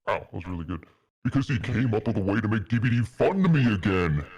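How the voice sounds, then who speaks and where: A man's voice: on the low side, sing-song, fast talker, a little mellow, breathy edge, dry recording. A man reading fan fiction aloud on a stream, mock-earnest and melodramatic, with a casual style. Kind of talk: dramatic narration with casual asides.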